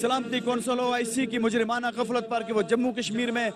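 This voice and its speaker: A man's voice: very high-pitched, level tone, a little fast. A man giving an indignant, rhetorical speech at a protest. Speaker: protest speaker. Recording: distant voice with crowd noise. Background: crowd.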